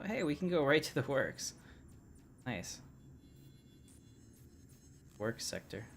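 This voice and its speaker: A man's voice: medium pitch, a little hiss or hum. A man casually commenting on a game as he streams it, rambling and easygoing with plenty of fillers. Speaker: livestream gamer.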